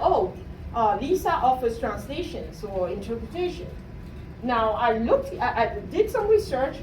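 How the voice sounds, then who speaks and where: A woman from Cameroon giving a motivational talk to students as a coach, checking in with questions as she goes. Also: high voice. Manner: asks the audience questions, often adds "right?". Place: room at a university talk. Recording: roomy, distant mic, faint noise.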